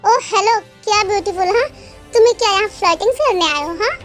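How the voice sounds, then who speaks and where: A woman's voice: extremely high pitch, fairly quick, fairly bright tone, faint music behind, pitched above the performer's own voice. A woman voicing a jealous, sulky young wife in a romance story, snapping and sarcastic.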